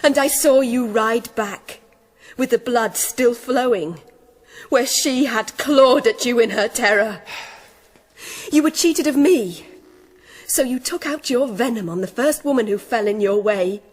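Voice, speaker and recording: An actress playing a headstrong, grieving young noblewoman in a radio drama, declaiming deliberately and fiercely. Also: a little high. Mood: bitter accusation. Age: young adult.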